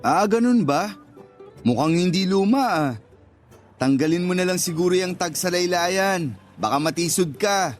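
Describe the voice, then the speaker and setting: A man's voice: high voice, animated, normal speed, long pauses, soft background music. A man playing an earnest, tactless young suitor in a Tagalog radio drama, careful and apologetic as he explains himself. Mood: shy, teasing.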